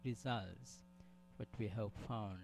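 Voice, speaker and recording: A man's voice: on the low side, takes it slowly, creaky, background noise. A man from Sri Lanka giving a conference presentation, hesitant, with many fillers and restarts. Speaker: conference speaker.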